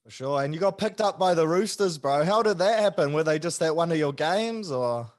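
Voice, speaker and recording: A New man hosting a sports podcast, asking questions in a relaxed, matey way. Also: on the high side, a little fast. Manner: relaxed, matey, says bro often. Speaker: podcast host. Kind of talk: casual podcast interview.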